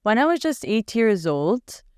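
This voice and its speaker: A woman's voice: a little high, very animated, unhurried, smooth, no echo, studio quality. A woman in her twenties, raised in Canada after a childhood in Bulgaria, telling her story as a guest in a casual interview. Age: 20s. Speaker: guest, pageant winner.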